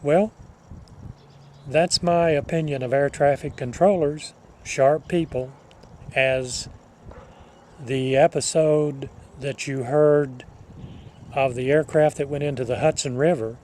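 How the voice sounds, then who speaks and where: An older man telling a personal reminiscence to listeners, speaking in a measured, deliberate way with halting phrasing. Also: mid-range voice, fairly even intonation, darkish tone, a little hiss or hum. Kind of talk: personal reminiscence told to an audience.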